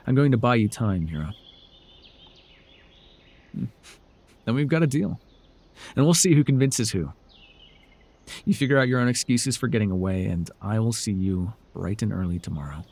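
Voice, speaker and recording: A man's voice: fairly low pitch, lively intonation, normal speed, pauses often, a little mellow. A man playing a smug, teasing telepath supervillain who mentors a hero, in a wry, theatrical yet conversational ASMR roleplay. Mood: smug, coaxing.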